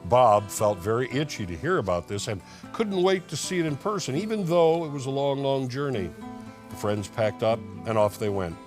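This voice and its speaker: Animated man over prominent guitar music. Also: mid-range voice, normal speed. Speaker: TV show co-host. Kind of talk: casual banter and storybook read-aloud.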